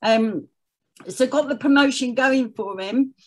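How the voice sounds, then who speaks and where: A woman's voice: high voice, measured pace. A woman speaking conversationally as an interview guest, telling personal stories. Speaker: podcast guest, charity chief executive.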